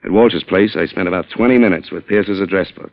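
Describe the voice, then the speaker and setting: A man's voice: sits low, a little fast, a little mellow, low-quality recording. A man playing a brash, wisecracking newspaper reporter who narrates his crime story in wry, clipped, streetwise hardboiled radio style. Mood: wry, tense narration. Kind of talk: hardboiled 1940s radio drama acting. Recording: vintage radio recording with music behind.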